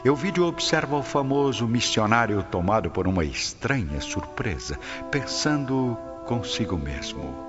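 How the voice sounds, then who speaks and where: A man with a Brazilian accent narrating a radio drama in a solemn, literary, measured style. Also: medium pitch, very animated. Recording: dull lo-fi audio under loud music.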